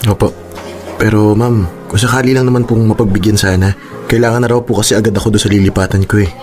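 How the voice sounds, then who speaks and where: Actor as low-voiced, hesitant Filipino employee. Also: male voice, steady tone, quick pace, a little mellow, natural voice. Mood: deferential. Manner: deferential, hesitant, pleading. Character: polite, hesitant young male employee, weary in love. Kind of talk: Filipino radio drama acting. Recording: music under the voice. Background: background music.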